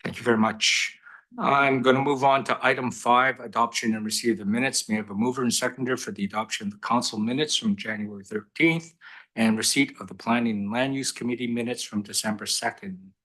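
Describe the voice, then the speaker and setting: Bright-voiced man chairing a council. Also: medium pitch, steady tone, rough recording. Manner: brisk procedural chairing with light humor. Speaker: mayor chairing council meeting. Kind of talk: formal meeting procedure. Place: council chamber.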